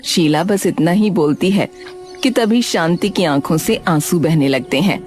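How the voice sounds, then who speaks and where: A woman narrating a cartoon moral story in an expressive, scripted storytelling style. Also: lowish voice, unhurried, faint music behind.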